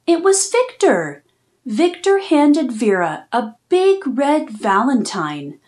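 A woman reads a children's storybook aloud at an unhurried pace. Her pitch moves widely and expressively, and she phrases each line deliberately for small listeners. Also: very animated, a little mellow. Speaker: children's read-aloud host.

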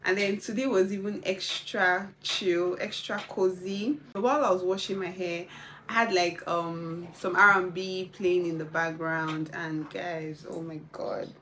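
A young woman, chatting casually at home as a lifestyle vlogger, relaxed and gushing with soft laughs. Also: fairly low pitch, unhurried, slight background noise. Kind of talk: casual chat to camera.

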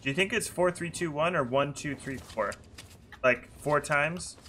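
Man, high-pitched bright voice, playful gameplay chat, exclamations, game ambience. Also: a little slow, slight background noise. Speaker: gaming streamer, crew captain. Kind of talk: excitable gameplay chat with crewmates. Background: game sound effects and ambience.

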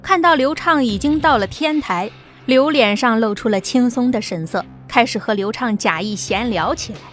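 A woman narrating an audio drama in a steady storytelling manner. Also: on the high side, normal speed, soft background music.

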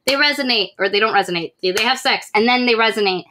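A woman's voice: fairly high pitch, quick pace, pushed and bright, slight background noise. A young woman co-hosting a podcast, recapping and critiquing books in casual chat. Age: young adult. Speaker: podcast co-host.

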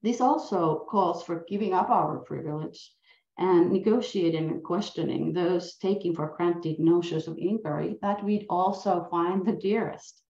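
A woman's voice: on the low side, measured pace, warm tone. A middle-aged woman with a Finnish accent, a native Finnish speaker, giving an earnest, reflective academic lecture over a video call and asking many questions. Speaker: university professor. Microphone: laptop mic on a video call. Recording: roomy, dull-sounding video call.

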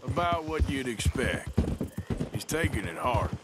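A man's voice: medium pitch, animated, unhurried, very noisy, close to an everyday voice. An actor playing a laconic, world-weary male outlaw gunslinger in a video game, with a dry, sardonic, terse drawl. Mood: tired, matter-of-fact. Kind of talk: video game character acting.

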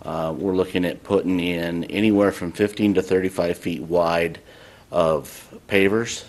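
City admin guy at a council meeting, real deep voice, monotone. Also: a little slow. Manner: plain, even update with uh fillers.

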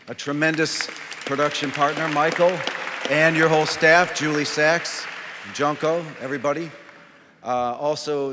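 A man in his sixties giving an informal, somewhat halting acceptance speech at a podium microphone. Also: mid-range voice, a bit bright. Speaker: award recipient, filmmaker. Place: large awards hall stage, indoors. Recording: reverberant hall with audience sound. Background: audience.

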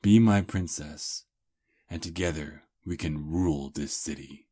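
Ancient vampire prince, played by a man: courtly seducer, breathy husky voice, slow intimate ASMR delivery. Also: a bass voice, mellow, full character voice. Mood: coaxing, commanding. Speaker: ASMR roleplay performer. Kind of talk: intimate ASMR roleplay acting.

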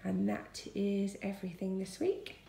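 A woman's voice: medium pitch, monotone, measured pace, gravelly, warm tone. A woman, chatting casually as she lists her family's weekly groceries for a home vlog, filmed on a phone at arm's length. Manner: chatty, listing items one after another. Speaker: family vlogger. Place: home kitchen, indoors. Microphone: phone camera at arm's length. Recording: echoey kitchen with faint noise.

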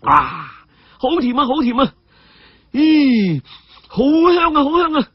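A man voicing a cheeky, gleeful young martial hero in a story, with mocking chuckles and drawn-out exclamations. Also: very high-pitched, sing-song, no echo, highs cut off, voice pushed up, full character voice. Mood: gleeful, playful. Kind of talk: Cantonese storybook character acting.

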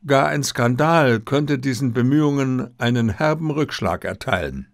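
A man voicing a formal, discreet Japanese diplomat in an audiobook, with courteous, measured wording. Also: mid-range voice, animated, creaky, no room sound, clean studio sound, voice pushed up. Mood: formal, explaining. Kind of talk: audiobook character dialogue.

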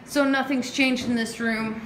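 Chatty, excitable woman filming a house tour. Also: fairly high pitch, unhurried, background noise. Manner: chatty, excitable, jumps between topics. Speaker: vlogger renovating a house. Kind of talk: casual walkthrough vlog. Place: empty house and yard, indoors and outdoors. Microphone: phone held while filming.

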